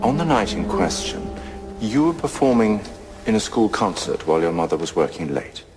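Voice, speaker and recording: A barrister with a very low voice questions formally and deliberately. The courtroom drama rings with hall echo. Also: male voice, very animated, a little mellow, soft background music. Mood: probing, formal. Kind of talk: audio drama courtroom acting. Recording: echoey hall reverb.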